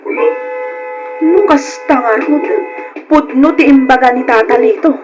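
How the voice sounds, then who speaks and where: Radio drama: woman playing nosy, insistent Ilocano gossip; high, animated. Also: moderate pace, highs cut off, over music, lightly acted. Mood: excited, insistent.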